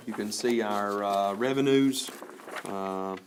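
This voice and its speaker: A man presenting enrollment and budget figures at a school board meeting, halting with many fillers. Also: lowish voice, a little hiss or hum.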